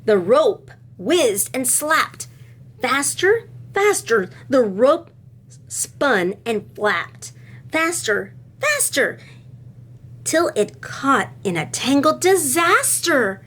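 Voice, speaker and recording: A woman reads and explains a picture book for young listeners in the manner of a teacher. Her voice is on the high side and very lively in its rise and fall. She takes her time, phrasing deliberately and speaking directly to the children. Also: husky. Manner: deliberate, addressing children with questions. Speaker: teacher reading aloud to children. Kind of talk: expressive picture-book read-aloud and explanation.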